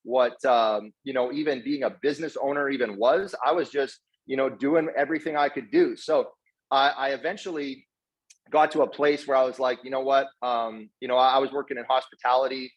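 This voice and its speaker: A man's voice: medium pitch, fairly even intonation, a little fast. A man giving an informal motivational talk in an earnest, slangy way, often doubling back on his words. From Canada, partly raised in South Africa. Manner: earnest, effusively grateful, slangy bro-talk with repeated words. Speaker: guest speaker, network marketer. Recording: dull, lo-fi online call audio.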